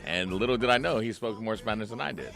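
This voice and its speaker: Slightly hoarse, brash American radio host. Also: male voice, on the low side, quick pace, soft background music. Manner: brash, teasing, animated storytelling. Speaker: host of a live cannabis growing radio show. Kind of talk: casual profane banter. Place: radio studio.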